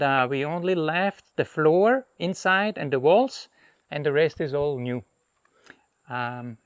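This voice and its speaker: A middle-aged man from Austria, a hotel owner, talking in a relaxed and rambling way with restarts while walking his jungle property. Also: on the high side, smooth, dry recording. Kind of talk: casual interview on a property tour.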